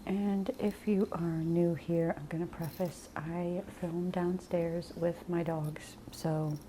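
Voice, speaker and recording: A woman hosting an ASMR chat in a soft half-whisper, sounding stuffy from a cold. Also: on the low side, little pitch movement, slow pace, slight background noise, low-quality recording. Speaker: ASMR channel host.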